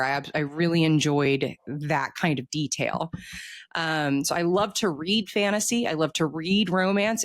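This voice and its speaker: Low-voiced American woman chatting casually. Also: no room sound. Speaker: author being interviewed. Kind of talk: casual interview chat.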